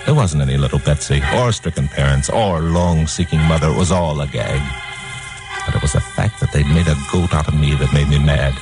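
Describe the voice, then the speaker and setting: Casting: wisecracking radio detective, deep voice, hard-boiled slang, old fifties broadcast sound with music. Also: male voice, a little fast. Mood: grim, hard-boiled. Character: wisecracking tough male radio crime-fighter hero narrating his case. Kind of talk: 1950s radio drama acting. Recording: old 1950s radio recording, music underneath.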